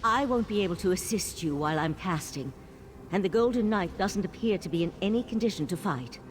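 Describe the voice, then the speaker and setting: A woman's voice: mid-range voice, expressive, fairly quick, a little hiss or hum, close to an everyday voice. An actress playing an urgent, resolute female mystic in a fantasy video game, speaking in a theatrical, incantatory way. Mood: grave, urgent.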